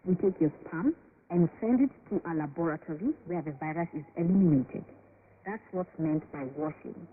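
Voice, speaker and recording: An actress playing a calm, patient female doctor in an educational radio drama, explaining things in a measured way. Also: lowish voice, lightly acted. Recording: noisy shortwave broadcast, tinny band.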